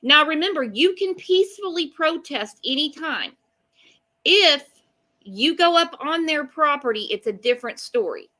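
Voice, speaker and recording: A woman's voice: high-pitched, expressive, normal speed, bright, forceful tone. A woman co-hosting a live true-crime chat show, talking in a blunt, emphatic, colloquial way. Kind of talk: casual opinionated chat.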